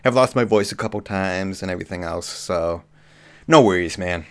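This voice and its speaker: A man hosting a live movie chat, slightly hoarse with a cough, talking casually with lots of ums. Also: low-pitched, moderate pace. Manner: relaxed, frequent ums and restarts. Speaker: livestream host. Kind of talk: casual live chat reading viewer comments.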